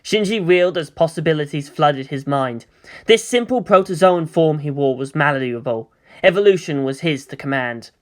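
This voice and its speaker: Expressive narrator reading dramatic fiction. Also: mid-range voice, normal speed. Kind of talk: dramatic scripted story narration.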